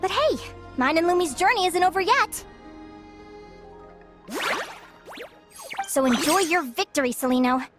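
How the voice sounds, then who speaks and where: A woman's voice: very high voice, lively intonation, a little fast, over music. A woman voicing a cheerful, determined young adventurer girl in a video game, perky and earnest. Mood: playful, upbeat.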